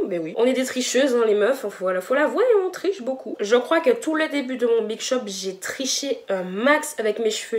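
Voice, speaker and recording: A young woman chatting casually through a home hair tutorial, slangy and playfully dramatic. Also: a little high. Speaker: hair vlogger.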